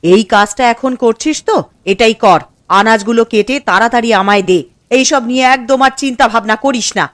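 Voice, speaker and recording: A woman's voice: fairly high pitch, a little fast, a little hiss or hum, lightly acted. A woman playing a stern household elder in a cartoon moral story, commanding and admonishing. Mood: stern, scolding. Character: stern, scolding female elder of a village household.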